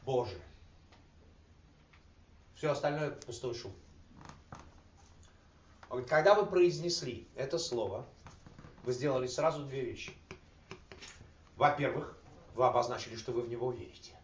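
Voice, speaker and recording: A man's voice: mid-range voice, moderate pace, long pauses. A man speaking casually to a recovery group, telling jokes and using slangy, coarse words. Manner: animated, laughing, slangy and coarse. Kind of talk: casual joking talk to a group. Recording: roomy, distant, dull with faint noise.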